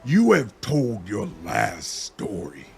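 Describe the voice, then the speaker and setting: A man acts out a ravenous ruler of a devouring insect swarm for a fantasy game. The delivery is slow and commanding, with pompous menace. Music plays faintly behind. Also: on the low side, huge pitch swings, creaky, full character voice. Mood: menacing.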